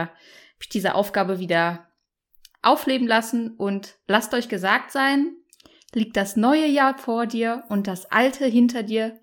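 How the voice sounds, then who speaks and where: A woman hosting a book podcast in casual chat, playful and joking. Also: mid-range voice. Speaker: podcast host.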